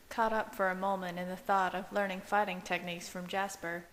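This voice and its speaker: A woman's voice: medium pitch, long reverb tail, low-quality recording. Woman narrating fiction over faint hiss. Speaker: amateur audiobook reader. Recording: hissy home recording.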